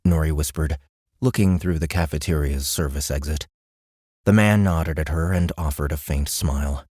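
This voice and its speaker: A man's voice: very deep voice, moderate pace, a little mellow, slightly rough, no room sound, clean studio sound. A man narrating an audiobook in a scripted, dramatic storytelling style.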